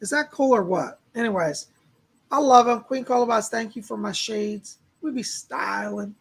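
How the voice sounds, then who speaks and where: A man with a raspy, tired voice, speaking to viewers as the host of a psychic medium live stream. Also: high voice, moderate pace. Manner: chatty, exclaiming, addresses viewers by name.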